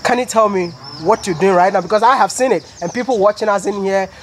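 A young man from Ghana interviewing a farmer outdoors, curious and lively, laughing easily. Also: high voice, fast talker, crowd noise. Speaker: interviewer, vlogger. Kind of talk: casual interview. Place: outdoors on a farm.

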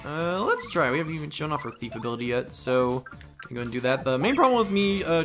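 A man hosting a let's play, giving rambling, offhand commentary in a casual style. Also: medium pitch.

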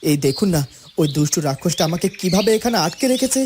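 A man's voice: high-pitched, big pitch swings, quick pace. Guy acting a helpless young fairytale hero, pleading dramatically, cartoon style, birds and noise behind. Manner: pleading, breathless repetition, melodramatic. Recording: noisy track with birdsong and insects.

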